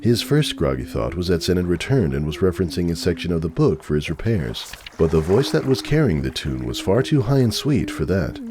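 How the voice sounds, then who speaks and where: A man's voice: low voice, sing-song, fairly quick, few pauses, a crowd in the background. A man narrating a story from a script as a dramatic reading.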